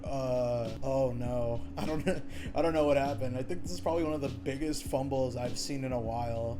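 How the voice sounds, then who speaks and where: A man giving casual, slangy scripted commentary on a video game. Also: fairly high pitch. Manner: informal, slangy. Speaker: video essay host. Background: music.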